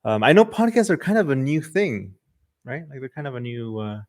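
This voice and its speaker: A man's voice: medium pitch, big pitch swings, brisk, darkish tone. Chatty man with a Mexican-tinged accent. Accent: Mexican Spanish influence. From Southern California. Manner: loose and chatty, many "like" and "um" fillers. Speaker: podcast host. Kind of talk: casual livestream chat reading viewer comments. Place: livestream in front of a camera.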